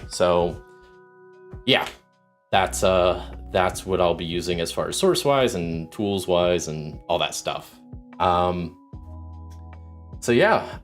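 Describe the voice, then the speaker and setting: A man streaming a solo tabletop game, chatting casually and rambling through rules with many ums while fighting off a sore throat. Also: deep voice. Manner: rambling, many ums and restarts, greets chat viewers. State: sick with sore throat, starting to lose his voice. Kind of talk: casual livestream chat explaining game rules.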